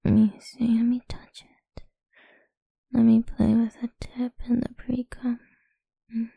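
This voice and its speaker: A woman speaking in a soft, coaxing, mostly whispered voice for an intimate ASMR roleplay. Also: a little high, level tone, unhurried, gravelly, slightly rough, no echo.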